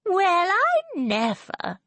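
A woman voicing a haughty, indignant middle-aged society mother in an audiobook, shrill and accusing. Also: wildly swooping pitch, a little fast, fairly bright tone, dry recording, exaggerated voice.

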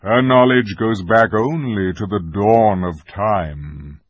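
A man voicing a majestic male lion who speaks slowly and solemnly, grave but gentle. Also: on the low side, no echo, muffled recording. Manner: slow, solemn delivery.

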